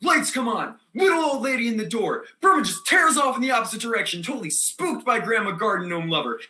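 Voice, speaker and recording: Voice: medium pitch, animated, fairly quick, sharp tone. An actor playing a scatterbrained, defiant theater student telling a comic monologue in slangy, rambling style, given to sudden outbursts. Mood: frantic, excited. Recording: echoey room.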